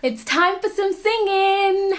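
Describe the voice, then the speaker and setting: A young woman presenting a children's lesson, animated and encouraging, speaking clearly for small children. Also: extremely high pitch, slow. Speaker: early years teacher and host. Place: small room with plain walls, indoors.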